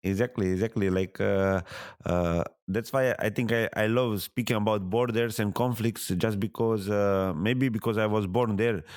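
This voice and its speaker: A man from Serbia with a Serbian accent, a guest on a podcast interview, who talks haltingly with many fillers and restarts. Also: fairly low pitch, steady tone, a little mellow, dry recording, clean studio sound.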